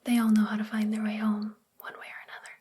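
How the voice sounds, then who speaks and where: A woman playing a sweet, gentle, self-doubting witch librarian in a soft-spoken, confiding ASMR roleplay with a breathy, whispery voice. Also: mid-range voice, monotone, fast, slight background noise, close to an everyday voice. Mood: gently teasing.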